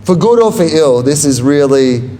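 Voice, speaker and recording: A man's voice: a little high, lively intonation, moderate pace, warm tone, slight background noise. Jokey rambling man on stage. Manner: rambling, self-deprecating and jokey, laughs at own lines. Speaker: author and comedian guest. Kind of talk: live book talk with audience questions. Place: bookshop event before an audience. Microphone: handheld microphone.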